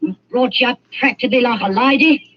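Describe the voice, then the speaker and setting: A woman's voice: on the high side, brisk, natural voice. An actress playing a scheming old woman who deals in stolen corpses, scolding and wheedling in turn. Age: older. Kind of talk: 1940s radio acting. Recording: muffled old radio recording.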